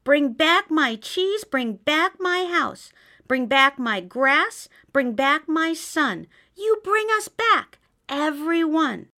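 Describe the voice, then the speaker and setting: A cross little mouse scolds and makes demands in a very high, squeaky voice, as a woman reading a storybook puts him on. His pitch leaps and swoops with fussy indignation. He sounds every bit the bossy storybook mouse. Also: sing-song, slow, a bit bright, heavily acted. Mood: demanding. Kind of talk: storybook character voice.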